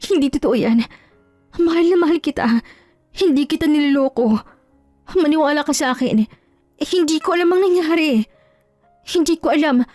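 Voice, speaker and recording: A woman's voice: sits high, moderate pace, pauses often, a little mellow, no echo, faint music behind, voice pushed up, natural voice. A woman playing a desperate young wife wrongly accused of cheating, pleading urgently in a melodramatic audio drama. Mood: tearful denial.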